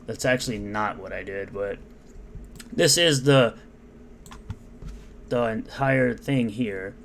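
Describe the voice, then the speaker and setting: A man's voice: fairly low pitch, slow pace, creaky, fairly bright tone, slight background noise. A man reading out and mocking comments in an unscripted, sarcastic rant. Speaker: commentary YouTuber.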